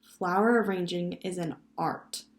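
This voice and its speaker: A woman's voice: fairly low pitch, measured pace, reverberant, close to an everyday voice. A woman voicing a patient, indulgent and cheerful mother in a children's story.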